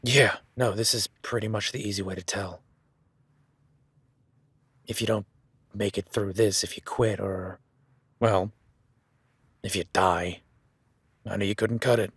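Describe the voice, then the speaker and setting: A man's voice: on the low side, steady tone, a little slow, pauses often, a bit bright, breathy edge, dry recording, heavily acted. A man playing a rugged, plain-spoken cowboy ranch hand with a folksy, easygoing manner that slips into casual swearing, in an audio roleplay. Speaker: ranch hand guiding a newcomer.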